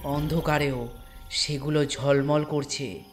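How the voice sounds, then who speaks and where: A man narrating a scripted horror story in a deliberate way, with dramatic pauses between phrases. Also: a little high, steady tone, soft background music.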